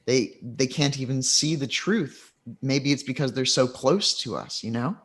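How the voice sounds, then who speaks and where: A man's voice: mid-range voice, a little slow, close to an everyday voice. A man voicing a sheltered young woman from a mage family who talks earnestly and a little anxiously.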